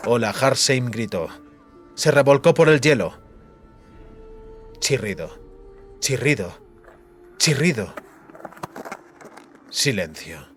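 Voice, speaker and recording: A man's voice: mid-range voice, expressive, takes it slowly, long pauses, over music. A man narrating a fantasy audiobook in a dramatic, scripted style.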